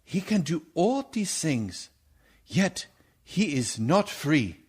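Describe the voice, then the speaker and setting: An actor playing a grave, commanding older professor and vampire hunter in a theatrical radio play, with solemn, oratorical delivery and stilted phrasing. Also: male voice, a little high, very animated, slow pace, darkish tone, breathy edge, full character voice. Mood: grave, warning. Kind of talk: theatrical radio drama acting.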